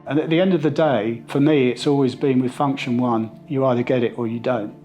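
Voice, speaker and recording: A man's voice: medium pitch, fairly even intonation, brisk, warm tone, faint music behind. A middle-aged man speaking as a sound installer in a reflective interview, conversational and enthusiastic about his work.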